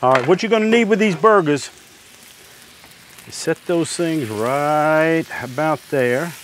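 Older male host, relaxed homespun cooking demo, clip mic, loud sizzling griddle behind. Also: fairly high pitch, unhurried, a little hiss or hum. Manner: easygoing, homespun, talks while working at the griddle. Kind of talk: step-by-step cooking demonstration. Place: outdoor covered deck by a griddle.

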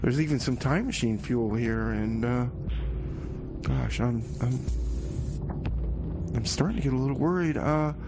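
A man's voice: medium pitch, big pitch swings, unhurried, long pauses, noisy background. A man hosting a community radio show with casual, comedic DJ patter, rambling in a folksy way and repeating his phrases.

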